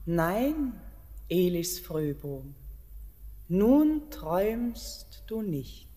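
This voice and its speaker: Otherworldly mountain queen. Low, slow, solemn and yearning, in a cavernous reverb. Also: sing-song, mellow, heavily acted. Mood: calm, beckoning. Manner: solemn, measured, otherworldly declamation. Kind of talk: classic German radio verse drama, declaimed.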